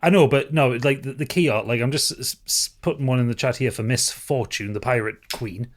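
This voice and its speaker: A man's voice: mid-range voice, a little fast. A man co-hosting a podcast in casual chat, wry and given to swearing. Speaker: podcast co-host.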